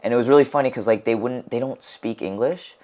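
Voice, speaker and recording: A man's voice: medium pitch, fast talker, mellow. A young American man co-hosting a casual podcast, telling rambling stories full of "like" and restarts. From United States. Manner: rambling storyteller, heavy on "like". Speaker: podcast co-host and wrestler.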